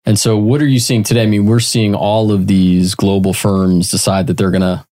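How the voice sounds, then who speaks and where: A man with a somewhat low voice co-hosts a podcast in a relaxed, joking manner. He drifts into shared memories and then steers the talk back to his questions. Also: normal speed. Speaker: podcast co-host. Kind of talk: casual podcast chat.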